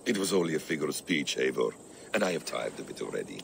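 A brooding, sly warrior-scholar from a video game. The voice sits low but moves expressively, with a sardonic, probing manner. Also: male voice, very animated, fast talker, creaky, slight background noise, heavily acted. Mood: wry. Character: wry, shrewd, brooding male warrior-scholar, a sly schemer. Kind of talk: video game cutscene acting.

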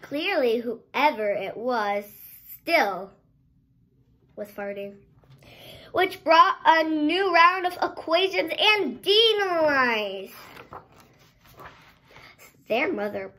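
A young girl reads a picture book aloud in a high, bright voice. She takes her time, leaning on words dramatically and now and then tripping over one. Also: sing-song. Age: child, around 8 to 10. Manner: dramatic, drawn-out emphasis with stumbles over words. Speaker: child presenter reading a picture book aloud. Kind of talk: read-aloud storytelling. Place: bedroom, indoors.

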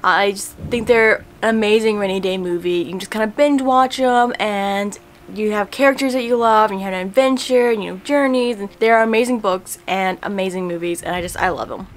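A young woman in a casual book vlog, talking in a chatty, relaxed way with many fillers, recorded at home by a camera at desk distance. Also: medium pitch, a little fast. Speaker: book vlogger. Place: home room in front of bookshelves.

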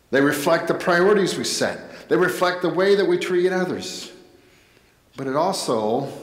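American pastor preaching in an echoing church. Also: male voice, fairly high pitch. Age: middle-aged. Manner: earnest, deliberate phrasing with rhetorical questions. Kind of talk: sermon. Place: indoor church, at a pulpit. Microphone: headset microphone. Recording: echoey church hall with long reverb.

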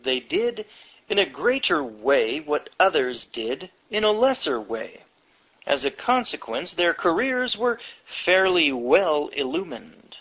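A man reading aloud like a preaching commentator, emphatic and deliberate, drawing out words between pauses. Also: fairly high pitch, big pitch swings, muffled recording. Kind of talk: reading a history book aloud with preachy asides.

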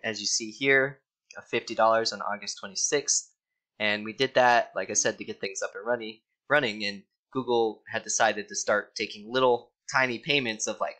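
A man's voice: mid-range voice, bright, forceful tone. A young man casually walking through an ads account on a screen recording, with lots of ums and a mildly exasperated tone.

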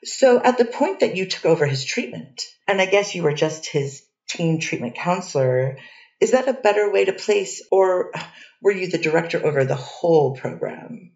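A woman's voice: lowish voice, animated, moderate pace, a little mellow, muffled recording. A woman attorney questioning a witness in a courtroom, hesitant and hedging, with many restarts. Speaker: defense attorney. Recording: distant echoey courtroom audio.

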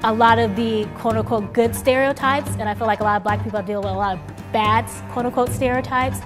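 A woman's voice: fairly high pitch, fairly even intonation, brisk, music in the background. A woman in her twenties speaking earnestly and directly in a filmed panel discussion. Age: 20s. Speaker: panel participant. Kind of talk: interview panel talk.

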